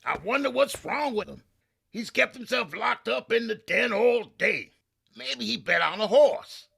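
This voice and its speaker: A middle-aged man playing a sly, loyal male valet in a recreated 1950s radio comedy, with a drawling, gravelly comic delivery. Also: very high-pitched, lively intonation, measured pace, fairly bright tone, full character voice.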